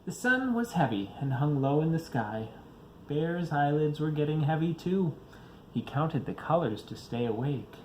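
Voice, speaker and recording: A man's voice: a little high, very animated, measured pace, a little mellow, a little hiss or hum, poor audio. A father reading a children's picture book aloud, expressively, varying his pace for young listeners.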